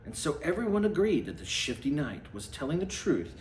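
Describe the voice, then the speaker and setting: A man reading a storybook aloud with a theatrical, deliberate delivery. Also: on the high side, big pitch swings. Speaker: storybook reader. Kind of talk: animated read-aloud storytelling. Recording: echoey room, faint background noise.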